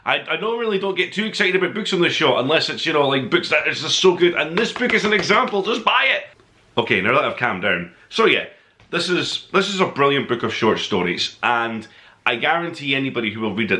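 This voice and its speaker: A man's voice: medium pitch, sharp tone. A man hosting an informal book review podcast, speaking in a gushing, emphatic way and leaning on key words.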